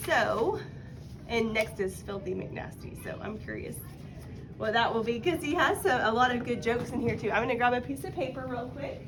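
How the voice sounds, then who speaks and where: A woman with a somewhat high voice speaks as a teacher in a one-to-one lesson. She guides casually and encouragingly, prompting as she goes. There is faint noise in the background. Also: animated, normal speed. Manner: encouraging, explains by prompting with questions.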